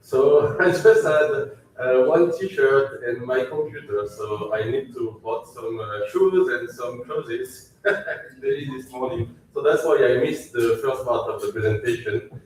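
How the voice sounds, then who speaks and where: A man's voice: medium pitch, darkish tone, far from the mic, slight background noise. French man speaking in an echoey hall. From France. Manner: polite, thankful, halting with fillers. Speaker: company CEO giving launch speech. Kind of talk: conference speech. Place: large echoey event hall.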